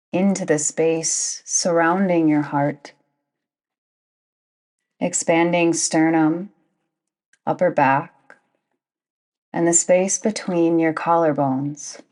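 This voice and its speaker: A woman guiding a yoga nidra meditation in a soothing, deliberate manner, with long pauses between phrases. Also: sits low, darkish tone. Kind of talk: guided meditation narration.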